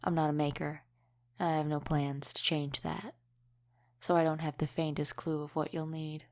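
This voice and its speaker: A woman playing a centuries-old, world-weary vampire mentor in an audio roleplay, speaking in a measured, matter-of-fact, lightly wry way. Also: sits low, flat delivery, natural voice. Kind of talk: scripted audio roleplay, addressed to the listener.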